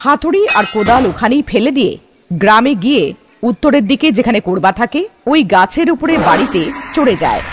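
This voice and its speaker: A man's voice: extremely high pitch, lively intonation, a little fast, background noise. A man narrating a scripted cartoon story in a dramatic storytelling manner. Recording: long reverb, music underneath.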